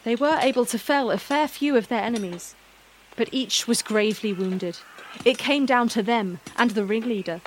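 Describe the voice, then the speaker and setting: A woman narrating a scripted fantasy story in a measured, dramatic storytelling manner. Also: a little high, a little hiss or hum, low-quality recording.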